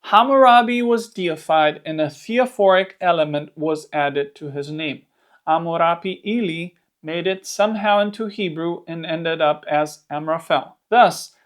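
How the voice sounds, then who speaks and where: A presenter with a Romanian accent, a native Romanian speaker, gives a scripted educational narration in English, explaining things clearly with playful asides. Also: medium pitch, a little slow. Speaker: host of a Bible history channel.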